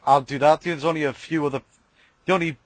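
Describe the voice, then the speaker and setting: A man's voice: on the high side, fairly even intonation, quick pace, dry recording. A man chats casually as a podcast co-host. He hesitates often and leans on fillers. The sound is dry and close to the mic. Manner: hesitant, many fillers like "you know". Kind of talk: casual chat.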